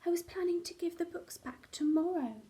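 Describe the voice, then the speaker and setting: A woman plays a sheepish male book thief from a picture book. The voice is very high and meek, with a sniffly, apologetic way of speaking. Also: animated, normal speed, mellow. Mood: remorseful, meek.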